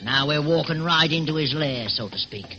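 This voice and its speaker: An actor playing a grumbling, nervous working-class London sidekick with a London accent in a radio drama, talking in colloquial slang. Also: male voice, on the high side, normal speed, bright, forceful tone, soft background music. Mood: uneasy. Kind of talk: old radio drama acting. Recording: hissy, dull lo-fi radio recording.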